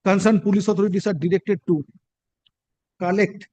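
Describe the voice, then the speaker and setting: A man with an Indian accent speaking as a judge, halting and deliberate, repeating words as he goes in formal courtroom speech. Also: sits high, fairly even intonation, a little mellow, no echo. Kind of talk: dictating a court order and remarking from the bench. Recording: dry live court hearing feed.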